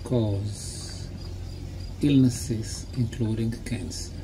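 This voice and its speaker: A man lecturing in short, emphatic phrases, pausing for an interpreter, with a deliberate, didactic manner. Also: lowish voice, fairly even intonation, background noise. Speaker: lecturer and guide.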